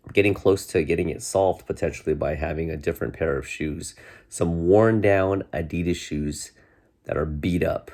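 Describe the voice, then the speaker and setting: Congested guy in his 40s, really low voice, rambles along with lots of ums, talking to his viewers from his bedroom. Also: gravelly, a little mellow. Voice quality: deep voice. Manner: rambling, many restarts and fillers. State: congested, getting over a cold. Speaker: vlogger. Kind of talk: casual chat to camera. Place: bedroom, indoors.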